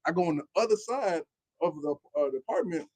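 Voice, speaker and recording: A man's voice: high voice, animated, fast, a little mellow, dry recording. A man. He tells stories casually and with animation, in a dry recording. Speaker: former football player, guest. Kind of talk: casual anecdote telling.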